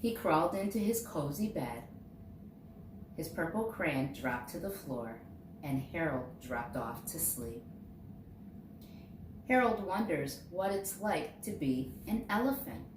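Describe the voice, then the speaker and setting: In a roomy, echoing space, a woman a little away from the mic reads a children's storybook aloud. Her pitch moves in lively swings as she stresses key words, and she takes her time over each line. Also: slow pace, pauses often. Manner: expressive, dramatizing key words for young listeners. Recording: roomy echo, distant mic, faint noise.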